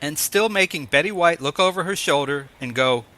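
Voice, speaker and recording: A man's voice: a little high, moderate pace, pushed and bright. A man hosting an interview show, moving between scripted announcements and conversational questions. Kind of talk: scripted introduction and ads, then interview chat.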